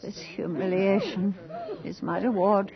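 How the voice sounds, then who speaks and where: A grand, proud noble hostess. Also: female voice, mid-range voice, a little slow, gravelly, a crowd in the background. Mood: bitter. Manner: grand, formal, dramatic. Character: proud aristocratic hostess, aging, bitter and theatrical. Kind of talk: 1980s radio drama acting. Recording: dull vintage radio recording with music.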